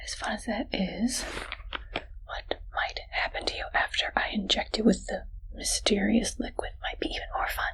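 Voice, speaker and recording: A woman playing a friendly but evil scientist in an ASMR roleplay, whispering softly in a gentle, teasing, unhurried way. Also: mid-range voice, steady tone, rough recording. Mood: playful menace. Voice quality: soft breathy whisper. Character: friendly but evil female mad scientist, playfully menacing.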